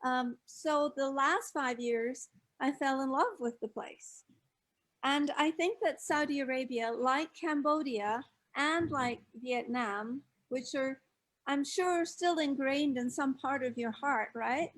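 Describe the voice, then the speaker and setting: An older woman from Scotland, a guest reflecting on her life abroad in a measured, storytelling way. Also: high-pitched, a bit bright. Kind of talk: casual interview chat.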